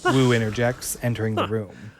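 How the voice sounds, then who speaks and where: A man's voice: lowish voice, fairly even intonation, normal speed, slight background noise. A man narrating a scripted audio drama in a dramatic, descriptive way.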